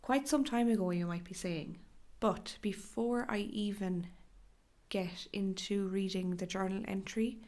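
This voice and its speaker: Irish woman, podcast host, hoarse and crackly from a cough, gentle and chatty. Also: medium pitch, steady tone, measured pace. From Ireland. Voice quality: crackly, breathy in places. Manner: gentle, chatty, with fillers and restarts. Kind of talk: solo podcast talk.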